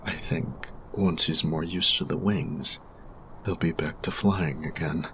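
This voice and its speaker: A man playing a grumpy, workaholic artificer in an audio roleplay, tired and reserved, speaking formally and precisely with hesitant pauses in a gravelly voice. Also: fairly even intonation, a bit bright, a little hiss or hum, rough recording. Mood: quietly pleased. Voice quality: gravelly, very low voice. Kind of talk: audio roleplay addressed to the listener.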